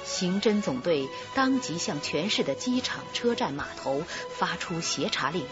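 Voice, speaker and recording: A woman delivers dramatic scripted narration. Her fairly low voice moves expressively over clear background music, in a slightly dull recording. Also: big pitch swings, unhurried.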